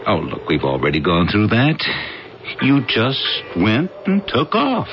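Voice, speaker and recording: A joking, easygoing best friend in an old radio play. He drawls his words slowly with big swings in pitch. The recording is dull and has music in it. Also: exaggerated voice. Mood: frank, concerned. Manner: jocular, drawn-out, animated delivery. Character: wry, teasing, easygoing male buddy. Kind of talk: 1980s radio drama acting.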